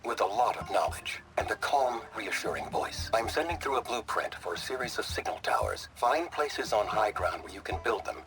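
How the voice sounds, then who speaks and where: An actor playing a mysterious, knowledgeable man who speaks in a calm, reassuring, slightly smug and measured way in a video game. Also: fairly low pitch, over music, rough recording. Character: mysterious, knowledgeable male stranger, calm and slyly amused.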